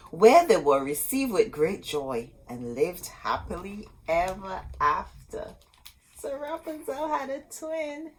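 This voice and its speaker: A woman storyteller reading a storybook aloud expressively, in short dramatic phrases with pauses. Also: medium pitch, a little hiss or hum, poor audio.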